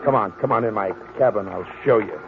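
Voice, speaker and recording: An actor playing a troubled, defensive sailor in an old-time radio drama, plainspoken and edgy. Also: male voice, fairly low pitch, fairly quick, gravelly. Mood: defensive, muddled. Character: troubled, defensive male sailor, a murder suspect. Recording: noisy, muffled old radio recording.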